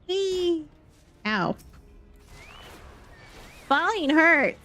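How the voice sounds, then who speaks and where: A woman's voice: very high-pitched, very animated, drawn-out words, pushed and bright. Playful woman streaming a game over music. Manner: playful muttering to self, short exclamations. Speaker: live streamer. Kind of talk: casual gameplay commentary, reading game dialogue aloud. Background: background music.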